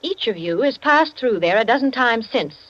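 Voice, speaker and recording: A woman acting a stern, imperious society hostess in a radio mystery drama, speaking firmly and deliberately. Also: fairly high pitch, expressive, a bit bright, dull audio. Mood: stern, commanding. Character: stern, commanding society hostess confronting a thief.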